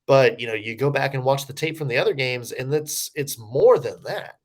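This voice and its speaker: A man's voice: medium pitch, expressive, normal speed. Man rambling through sports analysis. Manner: rambling, lots of fillers and restarts. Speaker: podcast co-host. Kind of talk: casual sports analysis chat.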